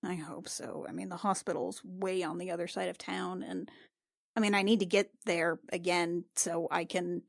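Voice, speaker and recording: A woman playing a frank, teasing young healer in improvised tabletop roleplay, speaking casually and haltingly and swearing freely. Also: on the low side, level tone, gravelly, no echo, studio quality, voice pushed down. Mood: worried, subdued.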